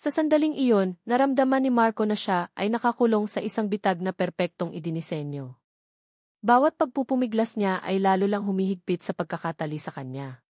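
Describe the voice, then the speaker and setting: A woman's voice: mid-range voice, lively intonation, brisk, a little mellow, dry recording, studio quality. A woman reading a scripted dramatic story as a storyteller.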